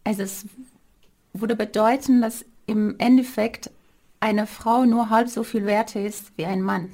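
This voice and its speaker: A woman's voice: medium pitch, fairly even intonation, a little slow, a little mellow. A woman from Iran with an Iranian accent, explaining things as a guest in an interview.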